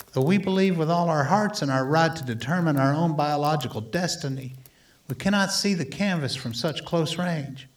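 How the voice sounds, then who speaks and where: Higher-voiced earnest scientist making a plea, played by a man. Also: natural voice. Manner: oratorical, deliberate, solemn. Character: earnest male doctor-scientist delivering a measured political plea. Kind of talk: formal speech in a radio play.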